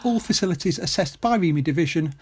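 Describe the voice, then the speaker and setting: An amateur actor plays a brisk army officer in a comic audio play. The delivery is clipped and officious, stuffed with jargon and acronyms. The officer sounds pompous and talks down to civilians. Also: medium pitch, animated, darkish tone, slightly rough.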